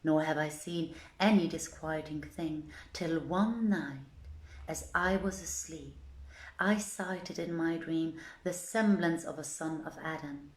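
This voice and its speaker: A woman's voice: sits low, takes it slowly. A woman voicing a frightened female duck from a storybook, solemn and emphatic in archaic words, in a roomy, echoey recording. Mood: grave, warning.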